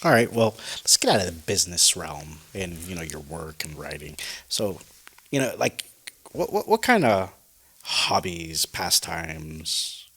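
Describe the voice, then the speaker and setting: A man's voice: low voice, big pitch swings, measured pace, a bit bright, breathy edge. A man hosting a casual interview, asking rambling questions full of restarts and fillers. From Saudi Arabia and the United States. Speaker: talk show host. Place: in Riyadh.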